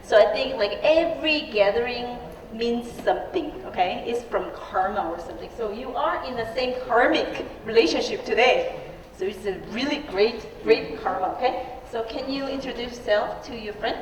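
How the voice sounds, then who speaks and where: A woman's voice: on the high side, animated, normal speed. A woman hosting and interpreting at a live event, chatty and full of fillers, often checking in with "okay?". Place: large exhibition hall. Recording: echoey hall, distant mic, noisy.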